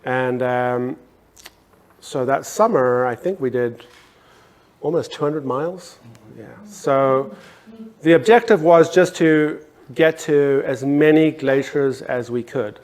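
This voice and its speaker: A man's voice: medium pitch, slow pace, darkish tone. A middle-aged man from South Africa with a South African accent, speaking on an art panel in a hesitant way, with many ums and restarts. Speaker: panelist artist. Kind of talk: casual panel talk.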